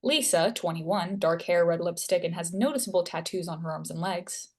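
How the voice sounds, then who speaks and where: A woman's voice: fairly low pitch, normal speed, a little mellow. A woman reading stage directions as scripted narration.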